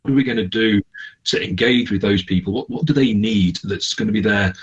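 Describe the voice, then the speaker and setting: A man speaking as a radio interview guest, explaining things in a halting, conversational way. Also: on the low side, husky, muffled recording. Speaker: interview guest, charity manager.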